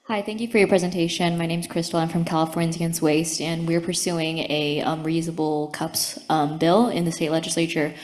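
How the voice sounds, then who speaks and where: Low-voiced woman asking a polite question. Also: fairly even intonation, brisk, few pauses, darkish tone. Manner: polite, slightly hesitant with fillers. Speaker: audience member asking a question.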